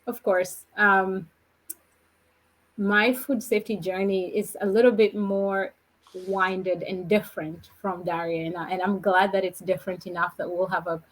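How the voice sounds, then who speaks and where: A woman from Kenya, a guest on a podcast, telling her story in a reflective, measured way. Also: on the low side, level tone, slight background noise, rough recording. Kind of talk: podcast conversation.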